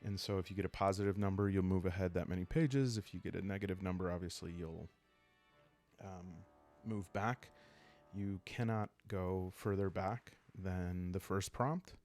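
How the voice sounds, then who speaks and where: A man hosting a solo role-playing game channel, explaining in a calm, unhurried ASMR style with halting, reflective phrasing. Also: sits low, soft background music.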